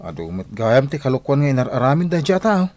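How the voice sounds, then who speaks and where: A man's voice: mid-range voice, very animated, a little fast, a little mellow, dry recording, close to an everyday voice. A man acting a judgmental, gossiping Filipino village neighbour in an Ilocano radio drama. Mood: disapproving.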